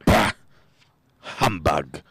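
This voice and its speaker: A man playing a cold, bad-tempered, miserly old businessman in a radio play, gruff and scornful with barking outbursts. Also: very deep voice, drawn-out words, fairly bright tone, no echo, heavily acted. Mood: angry, scornful. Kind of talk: radio play acting, 1930s adaptation.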